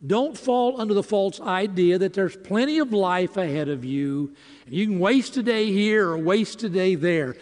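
A man preaching in a deliberate, emphatic way, breaking his lines into short phrases with dramatic pauses. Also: high-pitched, expressive, a little mellow. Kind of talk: sermon with emphatic rhetorical questions and scripture. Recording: long reverberant hall.

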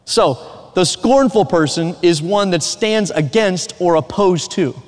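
A man's voice: high-pitched, a little slow. A man with a Southern American accent preaching a Sunday evening sermon, earnest and emphatic, with joking asides. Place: church sanctuary. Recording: reverberant church hall.

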